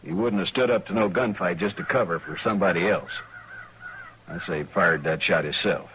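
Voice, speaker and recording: An actor playing a bitter, hot-tempered frontier farmer in a 1950s Western radio drama, with rustic, ungrammatical speech. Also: male voice, low voice, brisk, fairly bright tone, muffled recording. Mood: bitter, accusing. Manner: rustic ungrammatical drawl, accusing. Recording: noisy vintage radio recording.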